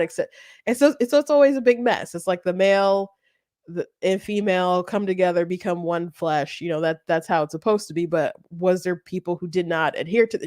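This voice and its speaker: A woman hosting a commentary show, talking off the cuff in a casual, halting, rambling way. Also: on the low side, no echo, studio quality. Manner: halting, rambling, often breaks into laughter.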